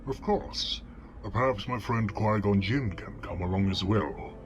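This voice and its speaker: A man's voice: on the low side, a little slow, dull audio, background noise. A man voicing a humble, dryly polite alien Jedi knight in a comic dub, with music behind him. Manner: formal, courteous, dry. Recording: loud music under the voice.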